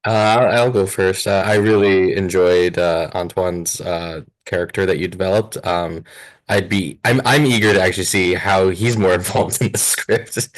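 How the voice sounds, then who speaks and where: Deep-voiced man, halting and informal. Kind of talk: casual feedback chat.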